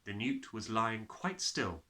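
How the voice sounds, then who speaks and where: A man reading a children's story aloud with expressive, dramatic narration. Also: on the low side, slow. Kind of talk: animated scripted narration.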